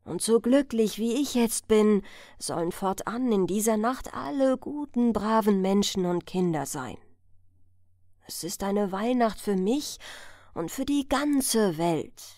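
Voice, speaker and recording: Motherly storybook spirit acted by a woman, solemn, reverent, slow, close dry sound. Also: medium pitch, animated, warm tone, no room sound. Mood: joyful, solemn. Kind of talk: fairy-tale audiobook character reading.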